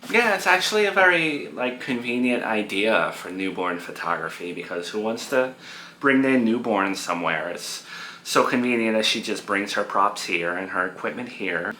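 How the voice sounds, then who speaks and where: A man voicing a soft-spoken, self-conscious, tired young new mother, subdued and hesitant. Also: fairly low pitch, animated, normal speed, a little hiss or hum, low-quality recording. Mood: agreeable.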